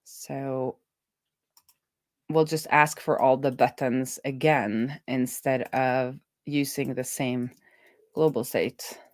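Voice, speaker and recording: A woman's voice: rumbling low, steady tone, no room sound. A woman developer casually thinking aloud as she codes, often breaking off mid-thought and correcting herself. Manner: halting, trailing off mid-thought and self-correcting. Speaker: developer hosting a live coding stream.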